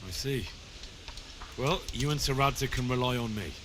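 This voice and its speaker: A man's voice: mid-range voice, normal speed, background noise, natural voice. An actor playing a dutiful, inquisitive young medieval man-at-arms in a video game, plain-spoken and deferential. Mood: earnest, dutiful. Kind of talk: scripted video game dialogue. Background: music.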